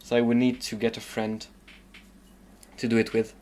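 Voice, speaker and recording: Flat-voiced French man, musing aloud. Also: mid-range voice, moderate pace, slight background noise. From southern suburbs of Paris, France. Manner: hesitant, thinking aloud with many fillers. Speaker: streamer. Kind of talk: casual gameplay commentary.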